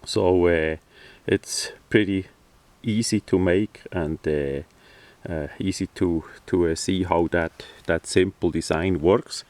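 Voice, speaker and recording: Slow, low-voiced man, halting delivery. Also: fairly even intonation, a little mellow, no echo. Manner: halting, hesitant, matter-of-fact delivery. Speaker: hobbyist presenter. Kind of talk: casual hands-on product demonstration. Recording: distant microphone.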